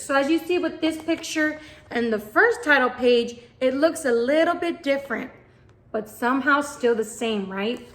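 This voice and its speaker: A woman reading a picture book aloud to young children, expressive and often putting questions to the listener. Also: high-pitched. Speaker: children's read-aloud host, teacher.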